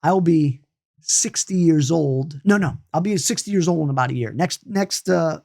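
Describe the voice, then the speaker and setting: A man's voice: a little high, moderate pace, gravelly, a little mellow. A man in his late fifties giving a loose, conversational lesson on energy healing and meditation, restarting and repeating himself as he goes. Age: 50s. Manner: loose, repetitive, many restarts and asides.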